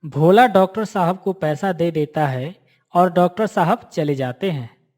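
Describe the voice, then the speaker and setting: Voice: medium pitch, measured pace, a little mellow. A narrator reading a scripted moral story for a cartoon in a storytelling style.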